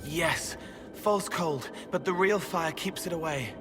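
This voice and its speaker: A man's voice: a little high, takes it slowly. An actor playing an odd, earnest young man who senses spirits in a game, in cryptic fragments. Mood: eerie, intent. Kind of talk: video game dialogue. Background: loud music.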